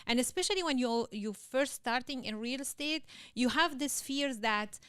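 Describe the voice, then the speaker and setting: A woman with an Arabic accent, a real estate agent being interviewed on a podcast, speaking with many pauses, fillers and restarts. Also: sits high, lively intonation, a bit bright, clean tone, dry recording, studio quality. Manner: laughs easily.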